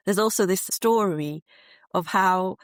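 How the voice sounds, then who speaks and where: A woman answering interview questions as a researcher, thoughtful and measured with frequent fillers. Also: mid-range voice, no room sound. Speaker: guest researcher.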